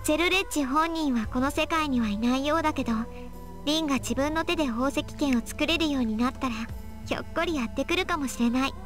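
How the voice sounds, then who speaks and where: A woman playing a bossy, sharp-tongued young female mage in an anime visual novel, brisk and assertive. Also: high voice, faint music behind, close to an everyday voice. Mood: thoughtful, explanatory.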